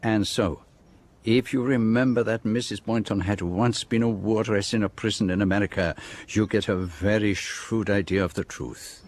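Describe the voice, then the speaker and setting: An actor playing a shrewd, precise Belgian detective with a French-speaking accent, formally laying out a case in a radio drama. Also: male voice, fairly low pitch, fairly even intonation, close to an everyday voice. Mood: measured, assured. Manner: measured, precise, formal explanation. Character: shrewd, precise, theatrical Belgian detective, middle-aged man.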